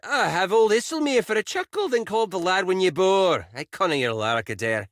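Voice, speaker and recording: A man's voice: high-pitched, very animated, fairly quick, fairly bright tone, no room sound, clean studio sound, pitched above the performer's own voice. A man voicing a brash, teasing female second soul of an elf wizard in a game, speaking blunt, rustic, archaic dialect.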